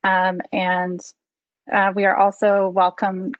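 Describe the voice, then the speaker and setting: A woman moderating a webinar panel over a video call, introducing guests with frequent ums and pauses. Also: medium pitch, monotone, no echo, dull audio. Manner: hesitant, many ums. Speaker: program director, panel moderator.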